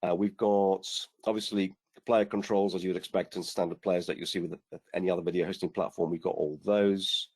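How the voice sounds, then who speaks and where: A man demonstrating software on a webinar call, explaining at an easy pace with many fillers, his voice going hoarse. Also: sits low, steady tone, a little fast. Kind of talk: screen-share software demo.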